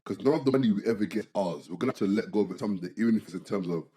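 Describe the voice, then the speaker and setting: A man talks fast and animatedly as a podcast co-host, peppering his sentences with "bro" and "like". His voice sits low and sounds dark and mellow. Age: 20s. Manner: animated, repeats "bro" and "like", restarts a lot. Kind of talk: casual chat.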